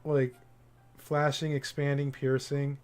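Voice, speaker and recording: A man's voice: medium pitch, flat delivery, takes it slowly, a little mellow. A man livestreaming a visual novel, casually commenting and reading the game text aloud, with game music behind him.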